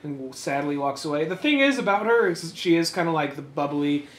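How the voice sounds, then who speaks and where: A man co-hosting a podcast, giving casual, animated commentary on a comic with joking profanity. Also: fairly high pitch, fairly quick, roomy sound. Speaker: podcast co-host.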